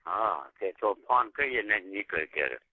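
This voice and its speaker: A man's voice: fairly low pitch, expressive, normal speed, slightly rough. A man chatting casually and privately on a phone call. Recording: muffled tinny phone call recording.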